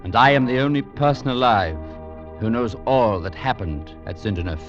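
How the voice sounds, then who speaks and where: An actor playing a wistful English survivor recalling his boyhood, measured and reflective in 1940s radio drama narration. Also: medium pitch, expressive, close to an everyday voice. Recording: dull old radio recording, faint music.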